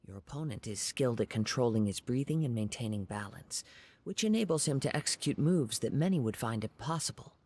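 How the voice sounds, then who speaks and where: A man voicing a soft-spoken, contemplative young swordsman in a video game, formal and polite in his measured phrasing. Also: medium pitch, expressive, natural voice. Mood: calm, admiring. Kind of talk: scripted video game character dialogue. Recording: background music.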